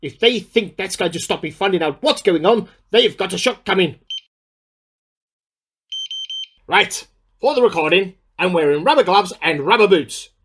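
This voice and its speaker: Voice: mid-range voice, expressive, moderate pace, fairly bright tone, slight background noise. An actor in a radio play playing a bemused amateur scientist who keeps a spoken diary, musing aloud in an earnest, slightly formal and self-questioning way. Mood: indignant, alarmed. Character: bemused amateur scientist keeping an audio diary, increasingly suspicious.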